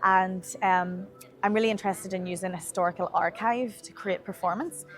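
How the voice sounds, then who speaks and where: A woman's voice: mid-range voice, steady tone, normal speed, faint music behind. A young woman with an Irish accent, speaking as a playwright and performer in a promotional interview. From Ireland. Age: young adult.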